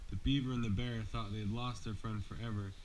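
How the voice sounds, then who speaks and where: A man's voice: lowish voice, fairly even intonation, brisk, darkish tone. A man reading a bedtime storybook aloud to his child, as a father does. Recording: noisy amateur home recording.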